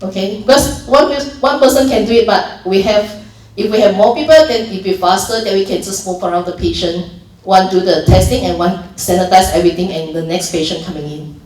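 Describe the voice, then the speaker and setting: A woman's voice: mid-range voice, fairly even intonation, brisk, a little mellow. Woman volunteer trainer with a Malaysian accent, echoey and distant. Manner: explains steps plainly, many restarts and fillers. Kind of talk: informal training talk. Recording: echoey room from far off.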